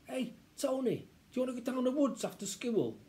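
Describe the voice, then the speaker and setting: A man's voice: very high voice, big pitch swings, fairly quick, mellow, pitched above the performer's own voice. A British man voicing a left-out schoolboy, whiny and wheedling, in a children's story. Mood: eager. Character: pleading, left-out northern English schoolboy.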